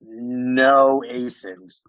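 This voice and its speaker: A man's voice: medium pitch, level tone, very slow, a bit bright, no room sound, dull audio. A man whose accent mixes American and Romanian, talking casually as a podcast guest and walking through what he sees on screen with many fillers. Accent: American mixed with Romanian. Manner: loose explaining with many fillers like "like" and "um". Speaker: guest expert demonstrating software.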